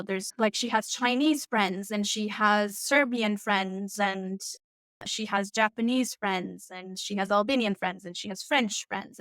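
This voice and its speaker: A woman from Turkey whose first language is Turkish, a coach guest on a podcast, chatting casually and warmly with many fillers. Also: medium pitch, no echo. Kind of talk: casual interview chat.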